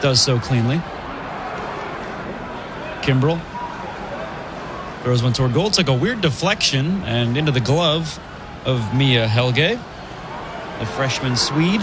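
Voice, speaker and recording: A man's voice: medium pitch, animated, background noise. Man calling hockey play-by-play. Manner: brisk, chatty, laughs easily. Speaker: play-by-play commentator.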